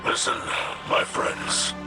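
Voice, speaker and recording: A man playing a proud, solemn, ailing alien leader in a video game, with a breathy growl and halting, portentous delivery. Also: natural voice. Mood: strained, grave. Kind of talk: dramatic game voice acting. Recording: loud music under the voice.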